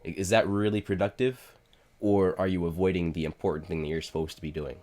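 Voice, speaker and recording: A man's voice: low voice, fairly even intonation, quick pace, darkish tone, soft background music. A man with a head cold and a stuffed-up voice, talking casually to camera in a self-help explainer vlog, often saying "like" and "you know.". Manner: conversational, frequent "like" and "you know" fillers. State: congested from a cold. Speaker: self-improvement YouTuber.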